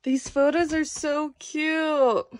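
Woman, bright high voice, eager visitor, lots of oohs and wows. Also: flat delivery, takes it slowly, no room sound. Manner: gushing, exclamatory. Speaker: visitor on the tour. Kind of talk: enthusiastic casual chat.

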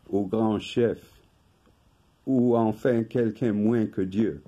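An older man, native English speaker from England, reading a prepared talk from paper in a slow, measured way at home. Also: medium pitch, dark tone, husky. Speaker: video host. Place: home room with bookshelf.

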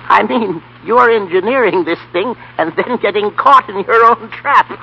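A man's voice: high voice, moderate pace, gravelly, slightly rough, muffled recording, heavily acted. An actor playing a kindly, plain-spoken old grandfather in folksy 1930s radio style. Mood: gently chiding. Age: older.

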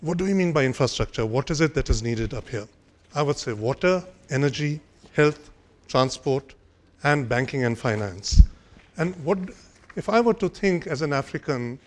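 An older man giving a formal speech at a podium on a conference hall stage, measured and hesitant, with frequent fillers and restarts. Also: mid-range voice. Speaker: conference speaker. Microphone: podium microphone.

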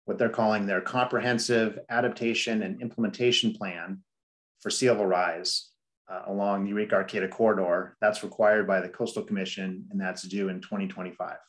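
A middle-aged man presenting a planning study to a public meeting over a video call, measured and explanatory, with frequent "um" and "you know". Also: fairly low pitch, fairly even intonation. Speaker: district staff presenter.

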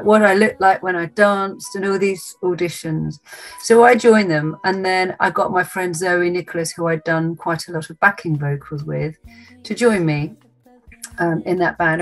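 Woman, forties, guest on a video call, lower voice, animated and chatty. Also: poor audio. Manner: chatty, animated, with frequent ums. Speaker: interview guest, singer. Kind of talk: casual interview answers. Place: home studio with acoustic panels.

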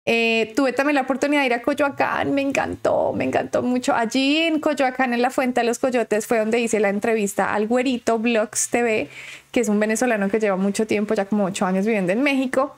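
A woman's voice: fairly high pitch, fairly even intonation, fairly quick. Chatty Colombian woman reacting. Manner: chatty, exclamatory, laughing asides. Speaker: reaction video host. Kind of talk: excited reaction commentary. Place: home room.

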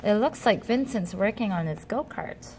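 A woman's voice: medium pitch, animated, normal speed, darkish tone, a little hiss or hum. A woman reading a children's picture book aloud expressively, speaking directly to young listeners. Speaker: storybook reader.

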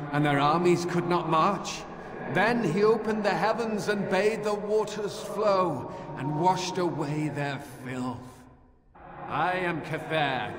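Slow, echoing ghost commander. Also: male voice, on the high side. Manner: formal, declamatory, deliberate. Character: stately male ghost of a holy army commander. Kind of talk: scripted fantasy game dialogue. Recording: echoey hall reverb, noisy game ambience.

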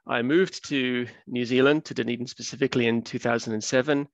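Gravelly man giving a webinar lecture. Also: mid-range voice, brisk, no echo. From Wales. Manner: relaxed, self-deprecating, many "uh" fillers. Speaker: research fellow giving a public talk. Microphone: computer mic on video call. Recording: dull, dry webinar audio.

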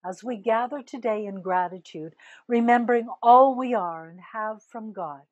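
An older woman minister giving a sermon, speaking slowly and deliberately and stressing key words. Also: fairly high pitch, a little mellow, no echo, lo-fi sound. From Canada. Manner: slow, deliberate preaching with emphatic rises on key words.

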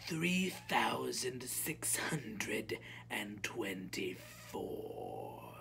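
A woman voicing a cold, menacing old witch in a storybook, with slow, hissing, breathy and deliberate delivery. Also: very deep voice, big pitch swings, fairly bright tone, heavily acted. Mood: cold, indifferent.

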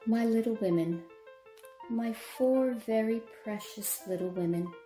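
A woman playing a gentle mother wistfully recalling her daughters, narrating an old-time radio drama. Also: fairly high pitch, unhurried, lightly acted. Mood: wistful, tender. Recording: roomy echo, music underneath.

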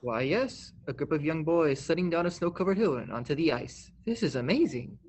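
Apprentice angel, teenage male actor: husky, highish, swooping male voice, eager, fussy and naive, radio play style. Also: expressive, fairly quick, darkish tone. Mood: curious, concerned.

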